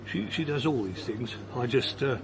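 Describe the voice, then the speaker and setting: A man's voice: medium pitch, expressive, normal speed, crowd noise, lightly acted. An actor playing a mild, self-deprecating retired man on a long walk, hesitant and chuckling as he talks. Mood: amused. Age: older. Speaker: lead character. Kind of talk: radio drama acting.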